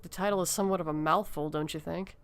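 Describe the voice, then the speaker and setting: Low-voiced, sassy young woman survivor , acted. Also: steady tone, fast, clean tone, natural voice. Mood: wry. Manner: sassy, blunt, sarcastic. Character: brash, teasing young woman survivor named Amber. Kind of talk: amateur audio horror story acting. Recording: music behind the voice.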